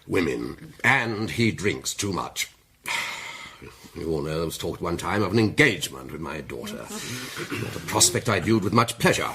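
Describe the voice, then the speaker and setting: An actor playing a gruff, upper-class older gentleman in a period detective radio drama, clipped, formal and pompous. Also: low-pitched, big pitch swings, moderate pace, heavily acted. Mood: disapproving. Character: gruff, upper-class titled gentleman, father of grown daughter.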